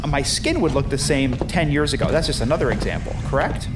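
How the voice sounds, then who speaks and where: A man's voice: medium pitch, animated, fairly quick, natural voice. A man voicing an eager trainee who repeats whatever he hears in a comedic audio drama. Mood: explaining. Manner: eager parroting, repeats words. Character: eager, parroting male trainee. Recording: music bed behind voices.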